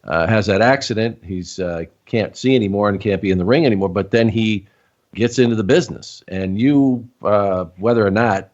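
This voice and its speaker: A man hosting a casual interview, phrasing his questions in a halting, thoughtful way. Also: lowish voice, darkish tone. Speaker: interview show host. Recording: slightly dull, lo-fi call audio.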